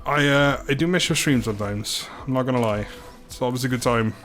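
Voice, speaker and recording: A man's voice: medium pitch, fairly quick, fairly bright tone, faint music behind. A man hosting a live game stream, chatting casually and jokingly with his viewers and often laughing. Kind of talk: casual live gaming commentary and chat.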